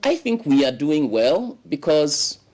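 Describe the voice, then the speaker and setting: A man's voice: fairly high pitch, unhurried, low-quality recording. A man from Ghana, a guest in a studio interview, telling long stories in an expansive way full of "you know"s. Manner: expansive, animated storytelling with many "you know"s.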